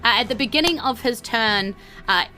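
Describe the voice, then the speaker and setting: A woman's voice: on the high side, animated, moderate pace, sharp tone, soft background music. A woman casually narrating a tabletop game as game master in an informal, giggly way. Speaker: game master of a tabletop role-playing podcast.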